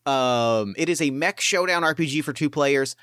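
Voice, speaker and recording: An enthusiastic man hosting a casual tabletop podcast, talking fast and loose with plenty of fillers. His voice is smooth and clear. The recording is dry and close. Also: on the high side, a bit bright, dry recording. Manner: enthusiastic, chatty, many fillers. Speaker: podcast host and game master.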